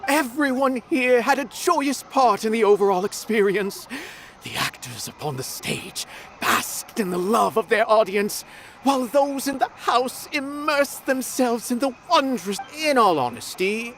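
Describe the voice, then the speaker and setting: A proud, philosophical art lover from a video game. His voice sits high and swings widely as he delivers grand, deliberate phrases with theatrical weight. Faint noise sits behind him. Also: animated, a bit bright, exaggerated voice. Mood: reflective, earnest. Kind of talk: dramatic video game voice acting.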